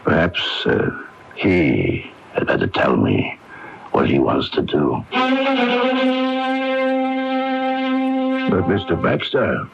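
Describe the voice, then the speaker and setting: Muffled hissy old radio play, a menacing polite gangster, super low gravelly voice, measured and formal. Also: male voice, very animated, soft background music, exaggerated voice. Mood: cool, courteous. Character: coldly courteous, menacing mob-connected man. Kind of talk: radio mystery drama acting.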